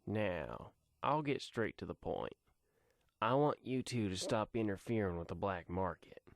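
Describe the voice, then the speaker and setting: Superhero audio story villain played by a man: smug male crime boss, slow condescending drawl, quietly threatening, dry sound. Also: fairly low pitch, sing-song, vocal fry, no echo, full character voice. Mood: smooth, businesslike.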